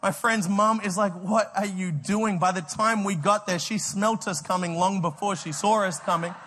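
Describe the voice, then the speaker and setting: An Australian man preaches with a high, bright-toned voice that rings out in a big reverberant hall. He tells his story with energy, stumbles over repeated words and laughs at his own jokes. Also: moderate pace. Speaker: pastor. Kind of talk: sermon with personal storytelling.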